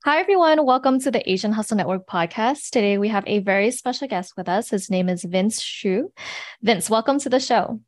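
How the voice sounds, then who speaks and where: A woman's voice: mid-range voice, expressive, fairly quick. A woman from San Francisco co-hosting an interview podcast, talking in a friendly, chatty way with lots of "like". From San Francisco, California. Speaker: podcast co-host. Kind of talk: casual interview chat.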